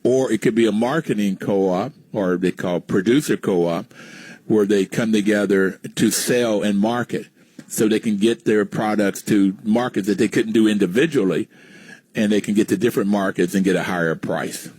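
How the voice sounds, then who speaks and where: A man's voice: on the low side, normal speed, darkish tone, husky, no echo. An American man from West Virginia hosting a conversational radio interview, asking questions and explaining points to listeners. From West Virginia, United States. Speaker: radio show host, interviewer.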